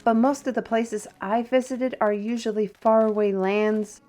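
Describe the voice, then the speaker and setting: Woman playing a slow-talking, feisty fantasy artist. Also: a little high, soft background music, close to an everyday voice. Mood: dismissive, proud. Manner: theatrical, expressive, exclamatory. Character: feisty, proud female fantasy artist, chatty and indignant. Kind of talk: fantasy roleplay audio drama acting.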